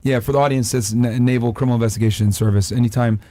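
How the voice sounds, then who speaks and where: A man hosting a casual podcast interview, asking blunt rapid questions and answering with frequent okays. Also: medium pitch, steady tone, warm tone, no echo, clean studio sound. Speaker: podcast host.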